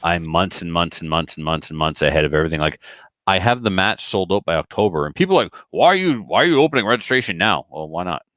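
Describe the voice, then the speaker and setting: A man with a low voice talks casually on a podcast. He rambles in everyday language and likes to act out what people said. The recording is dry and close, with little room sound. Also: lively intonation, quick pace, fairly bright tone, no echo. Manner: rambling, colloquial, with acted-out quotes. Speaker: podcast speaker who organizes shooting matches.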